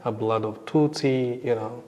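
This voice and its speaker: Middle-aged Rwandan man, halting and thoughtful. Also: mid-range voice, a little mellow, lo-fi sound. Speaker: interview guest sharing survivor testimony. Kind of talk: reflective personal testimony.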